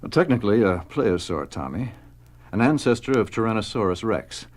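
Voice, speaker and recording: An actor playing a thoughtful paleontologist who explains things, in a 1970s radio drama. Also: male voice, on the low side, very animated, brisk. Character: learned paleontologist captain, middle-aged man.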